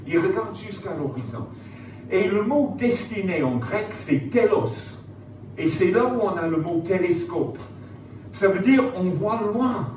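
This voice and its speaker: A man's voice: fairly high pitch, echoey room. Male preacher, informal motivational sermon, emphatic repetition, recorded from a distance with noticeable background noise. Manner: conversational, rhetorical questions, emphatic repetition.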